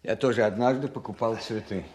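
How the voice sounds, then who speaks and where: An actor playing a humble, kindly old provincial tailor and grieving widower, speaking in unhurried, deferential, halting phrases in an audio drama. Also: male voice, lowish voice, darkish tone, lightly acted. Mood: sorrowful. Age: older. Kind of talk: Soviet-style audio drama dialogue.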